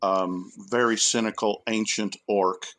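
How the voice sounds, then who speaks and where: Man thinking aloud, lowish voice. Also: fairly even intonation, a little slow, fairly bright tone. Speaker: podcast guest, academic. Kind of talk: casual panel discussion of a fantasy series.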